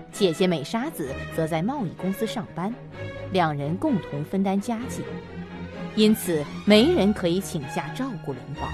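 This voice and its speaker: A woman reading scripted narration for a suspense audio drama. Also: on the low side.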